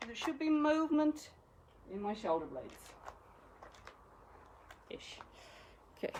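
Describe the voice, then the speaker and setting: A woman's voice: high-pitched, very animated, slow, a little mellow, slight background noise. A woman with an Irish accent teaching a live exercise class, cueing each movement and counting reps with encouragement. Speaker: fitness instructor.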